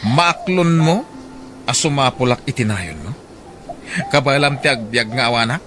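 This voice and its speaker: A man's voice: mid-range voice, lively intonation, moderate pace, music in the background, close to an everyday voice. A man with an Ilocano accent playing a harsh, hot-tempered husband and father in a radio drama, scolding and emphatic. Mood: irritated, harsh.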